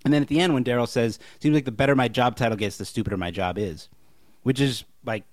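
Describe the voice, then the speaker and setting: A man co-hosting a podcast, discussing a TV show casually in a measured, analytical way. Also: medium pitch, dry recording, studio quality. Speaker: podcast co-host.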